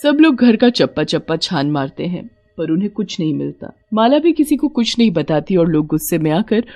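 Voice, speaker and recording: A woman's voice: fairly low pitch, very animated, moderate pace, mellow, soft background music. A woman narrator reading a scripted horror story for a cartoon.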